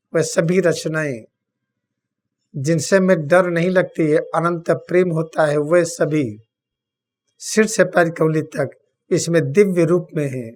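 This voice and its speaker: A man with an Indian accent leads a guided meditation, speaking solemnly and deliberately in short phrases with long pauses. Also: fairly high pitch. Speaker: spiritual teacher leading guided meditation.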